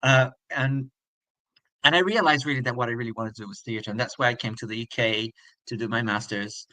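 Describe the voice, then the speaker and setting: A middle-aged man from Brazil with Portuguese as his first language. His bright, forward voice sits at an ordinary pitch. The sound is dry and close, and he thinks aloud as he tells his life story in an interview. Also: a little fast, dry recording, poor audio. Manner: reflective, thinks aloud with frequent restarts. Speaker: guest.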